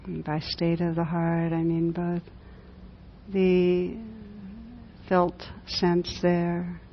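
Low, even-voiced woman guiding meditation. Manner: gentle, unhurried with long pauses. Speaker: meditation teacher. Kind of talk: guided meditation. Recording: dull lo-fi recording with rumbling background noise.

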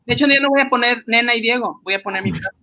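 Bright, higher-pitched Mexican woman. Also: quick pace, rough recording. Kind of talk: casual chat on a live stream.

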